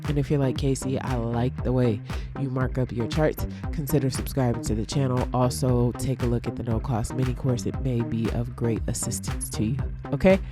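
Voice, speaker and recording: A woman's voice: extremely low pitch, fairly even intonation, moderate pace, music in the background. A congested woman teaching forex trading, walking through a chart casually and thinking aloud with ums and restarts.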